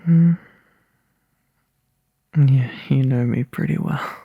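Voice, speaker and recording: A man playing a tired, overworked young boyfriend in a soft, intimate ASMR roleplay, halting and breathy, often dropping into a whisper close to the mic. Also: medium pitch, no echo, narrow, tinny audio, full character voice. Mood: weary, apologetic. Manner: halting, drowsy, sighing delivery. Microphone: close microphone, dry.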